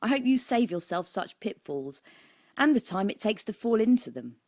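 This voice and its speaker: A British woman reads her own book as an audiobook narrator. Her tone is mellow and relaxed, at a middling pitch for a woman. The sound is dry, with very little room around the voice. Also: normal speed, dry recording. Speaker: author reading own audiobook.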